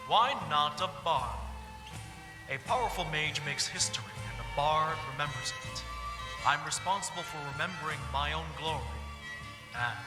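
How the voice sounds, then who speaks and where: Brooding bard played by a man, echoing hall. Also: on the high side. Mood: wistful pride. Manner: measured, solemn, poetic. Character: brooding male bard, once a mage advisor to a king. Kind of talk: fantasy game roleplay dialogue. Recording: echoey hall, music playing behind.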